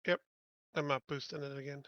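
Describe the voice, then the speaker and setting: A man's voice: mid-range voice, flat delivery, fairly quick, a bit bright, no echo, highs cut off. A man chatting casually as a player in a tabletop roleplaying game.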